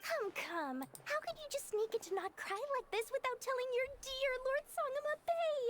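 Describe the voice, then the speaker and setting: Game merchant: small greedy girl, high pitch, theatrical swings, grandiose, wheedling salesmanship. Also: expressive, normal speed, heavy background noise. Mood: fawning. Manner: grandiose, flowery salesman's patter. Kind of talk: video game voice acting. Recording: loud game music underneath. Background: game music.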